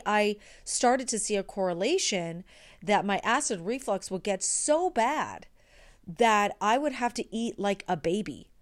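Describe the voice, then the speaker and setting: A young adult woman, an actor, casually telling a personal story in a chatty, rambling way with frequent restarts and asides. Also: mid-range voice. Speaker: performer and actor.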